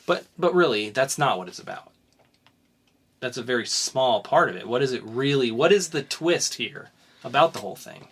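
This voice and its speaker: A man's voice: mid-range voice, lively intonation, moderate pace, fairly bright tone, breathy edge. A young man hosting a casual geek podcast, teasing his co-host as he quizzes her on a comic. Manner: playful, teasing quizmaster.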